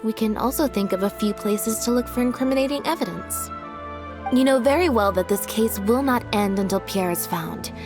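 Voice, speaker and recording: Actress as young woman detective, video game character, calm, deductive, measured, articulate, medium pitch, background music. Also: natural voice. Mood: calm, certain. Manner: measured, deliberate, articulate exposition. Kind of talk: scripted video game dialogue. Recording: music behind the voice.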